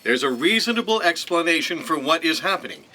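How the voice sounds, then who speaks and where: A man's voice: on the high side, very animated, pushed and bright, full character voice, poor audio. A man playing a confident, earnest, pompous investigator in a comedic sci-fi audio drama. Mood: confident, reasoning. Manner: earnest, deliberate explaining.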